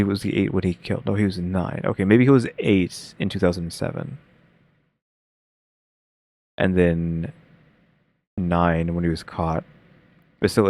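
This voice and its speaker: A man with a very deep voice talks casually while reacting to a video. He thinks out loud, rambles and fills gaps with uh and like, sometimes rushing ahead and sometimes slowing right down. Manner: rambling, many fillers, pace jumps between slow and rushed.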